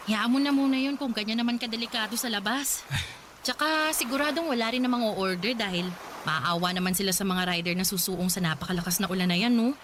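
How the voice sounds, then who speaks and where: A woman's voice: medium pitch, brisk, fairly bright tone, close to an everyday voice. Woman acting sweet teasing young wife in a radio drama, background noise behind her. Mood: caring.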